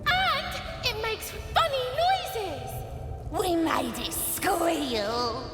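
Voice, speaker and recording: A woman's voice: very high voice, sing-song, drawn-out words, heavily acted. A woman voicing a cruel, gleeful goblin child in a video game, with taunting, slangy speech. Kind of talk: video game character acting. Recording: echoey hall, loud music behind.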